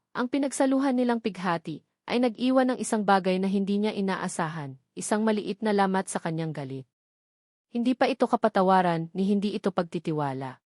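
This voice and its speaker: A woman tells a scripted romantic drama as its narrator. Her voice is medium in pitch, with dramatic storytelling delivery. The recording is dry, with little room sound. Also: a little mellow, no room sound.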